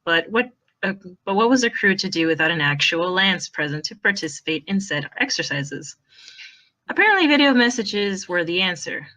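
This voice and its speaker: A woman reading fan fiction aloud as the narrator in an expressive storytelling style, recorded on an informal online voice chat. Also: mid-range voice.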